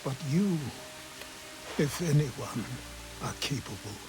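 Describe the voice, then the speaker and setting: Old male mentor, weary, solemn, grateful, very slow delivery, game drama, rain and thunder behind. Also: mid-range voice, animated, noisy background. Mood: solemn, tender. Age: older.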